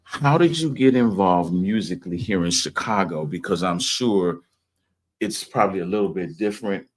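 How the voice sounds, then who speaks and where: A man's voice: low voice, normal speed. A man hosting a casual gospel music interview, folksy and emphatic, often repeating his phrases. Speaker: talk show host.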